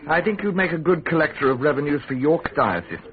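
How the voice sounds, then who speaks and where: A man plays a worldly, scheming English official in a Tudor radio play. He is insinuating and smug, his pitch moving freely between deliberate pauses, heard through a tinny, narrow-band recording. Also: lively intonation, close to an everyday voice. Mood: probing. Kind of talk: British radio drama acting.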